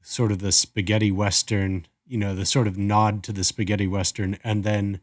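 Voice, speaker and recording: Easygoing low-pitched man, dry studio-like sound. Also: flat delivery, normal speed, no echo. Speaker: podcast co-host. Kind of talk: casual review chat.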